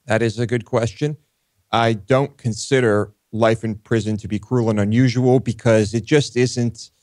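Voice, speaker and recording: A man co-hosting a talk show, giving opinions casually and haltingly as he pieces his thoughts together. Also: mid-range voice, fairly even intonation, darkish tone, no echo, studio quality. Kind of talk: casual unscripted discussion.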